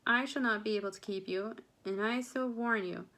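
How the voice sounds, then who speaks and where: A woman's voice: mid-range voice, moderate pace, pushed and bright. A woman reading a storybook part as a querulous, self-pitying middle-aged mother, complaining with insistent emphasis. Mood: scolding, self-pitying.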